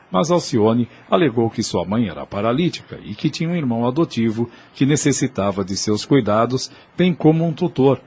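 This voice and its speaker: A man with a Brazilian accent narrating a scripted audio drama in a husky voice. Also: medium pitch, a little mellow.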